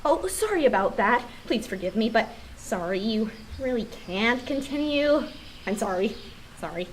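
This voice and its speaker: A woman's voice: fairly high pitch, lively intonation, moderate pace, a little hiss or hum, natural voice. A woman voicing an apologetic, sweet-toothed guard beaver in a comic radio play. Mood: sheepish apology. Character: fierce but over-polite, sweet-toothed guard beaver.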